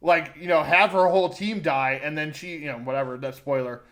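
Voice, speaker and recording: A man's voice: on the high side, a little fast, a bit bright. A man co-hosting a podcast, giving an informal, opinionated film review in a conversational way. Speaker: movie podcast co-host. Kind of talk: casual film review chat.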